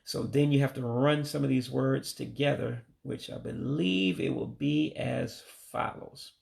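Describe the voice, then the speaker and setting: A man, a native English speaker, slowly and carefully teaching greeting phrases he is still learning, sounding them out and correcting himself. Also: medium pitch, gravelly, a little mellow. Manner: slow, careful, tentative pronunciation with self-corrections. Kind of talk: self-taught language lesson.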